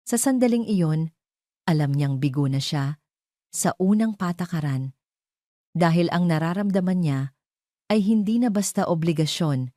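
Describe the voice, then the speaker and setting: A woman's voice: on the low side, lively intonation, unhurried, pauses often, warm tone, no echo, clean studio sound. A woman narrating a scripted dramatic story.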